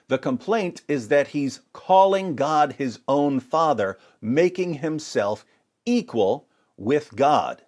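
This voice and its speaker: Animated man delivering dramatic religious commentary. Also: medium pitch. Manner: dramatic, rhetorical, sarcastic mock alarm. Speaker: apologetics presenter. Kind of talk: scripted religious commentary with scripture reading.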